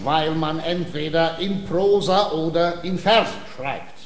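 An actor in a comic radio play, playing a patient, self-important language tutor who speaks in a precise, didactic and formal way. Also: male voice, fairly high pitch, unhurried, echoey room, natural voice. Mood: obliging, formal. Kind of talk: theatrical classic-comedy radio acting.

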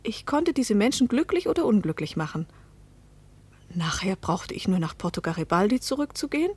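An actress playing a young woman who narrates her own story in a radio play, reflective and measured. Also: medium pitch, big pitch swings, darkish tone, husky. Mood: exultant.

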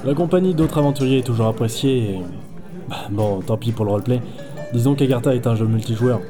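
A man's voice: medium pitch, brisk, music in the background, close to an everyday voice. A man playing a disillusioned but principled insurance adviser and gamer, world-weary and plain-spoken, in an audio drama. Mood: friendly, explaining. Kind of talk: scripted audio-drama acting and narration.

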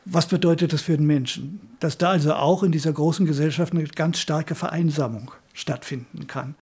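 A man's voice: fairly high pitch, moderate pace, darkish tone. An older man talking reflectively in an interview about his work as a radio director. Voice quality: husky, creaky. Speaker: retired radio drama director being interviewed.

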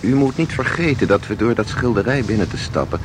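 An actor in a Dutch audio drama playing a composed, knowing guide who explains things in a measured, formal way. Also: male voice, on the low side, lively intonation, background noise, natural voice. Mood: absorbed, explaining. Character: composed, knowing male guide through eerie painted worlds.

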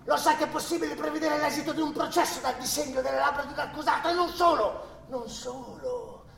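A man playing a nervous, obsequious long-time defendant in a radio drama, stammering and repeating himself as he swings from furtive murmurs to shouts. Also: a little fast, full character voice. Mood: condescending, intense. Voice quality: shrill when agitated, dropping to a creaky murmur. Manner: pleading, stammering, repeating words, swinging between whispers and shouts. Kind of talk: theatrical radio drama acting. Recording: echoey stage, distant mic, background noise.

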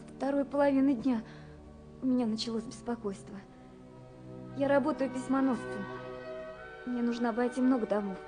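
Actress doing anxious dreamy young postwoman, really high voice, wistful letters, old radio play w music behind. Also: lightly acted. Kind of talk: 1970s radio drama, reading letters aloud. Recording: 1979 radio recording with music.

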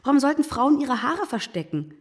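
A woman's voice: high voice, sing-song, a little fast. A young woman playing an outspoken, scornful, combative teenage schoolgirl in a German radio drama. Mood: heated, scornful. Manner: blunt, combative, argumentative.

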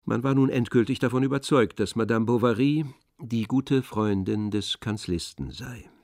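A man narrating a literary audio drama in measured, polished storytelling phrases. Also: mid-range voice, a little mellow, no room sound.

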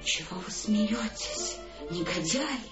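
An actress playing a vain, temperamental opera singer in a radio play, theatrical and capricious. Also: female voice, medium pitch, animated, slow pace. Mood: reminiscing, self-satisfied. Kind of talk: Russian radio play acting. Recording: echoey, narrow-band, loud music underneath.

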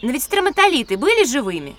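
A clever little girl with a high voice, inquisitive and a bit tart, in a children's audio adventure. She sounds slightly off the mic, with faint birds and insects around her. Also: very animated, fast, fairly bright tone, far from the mic, slight background noise. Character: alert, clever, easily miffed young girl explorer. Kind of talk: audio drama acting for children.

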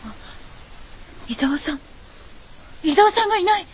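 A woman's voice: extremely high pitch, expressive, a little slow, a little hiss or hum. An actress playing a tender, emotional, devoted young woman in a radio drama, speaking politely and pleadingly. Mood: alarmed. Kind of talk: Japanese radio drama acting. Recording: dull audio under loud music.

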